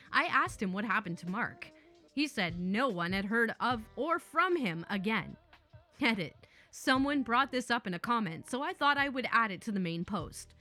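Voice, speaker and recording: A woman reading online stories aloud who breaks into playful, chatty asides. Her voice is bright and fairly high, with a lively, swinging pitch. Faint music plays underneath. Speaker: story narrator.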